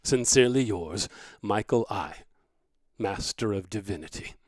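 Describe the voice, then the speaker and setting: An earnest, grieving divinity scholar in an audio drama. His low voice is formal, measured and solemn, recorded close and dry, as he recites a letter. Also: animated, darkish tone, no room sound. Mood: solemn, hopeful.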